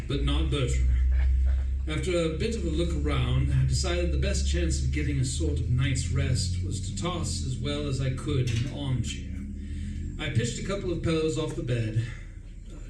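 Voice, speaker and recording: A man playing an excitable upper-class gentleman who narrates a radio play in a breezy, self-dramatizing way. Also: mid-range voice, close to an everyday voice. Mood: rueful storytelling. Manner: breezy, self-dramatizing, indignant when crossed. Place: live performance in a room, distant mic. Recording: roomy, distant, faint noise.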